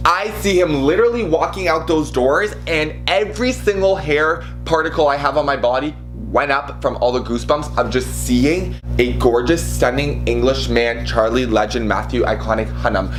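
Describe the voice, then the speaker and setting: A young man telling a comedic storytime in a campy, over-the-top, self-mocking way, now and then breaking into shrill shouted imitations. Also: normal speed, hardly any pauses, faint music behind. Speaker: vlogger.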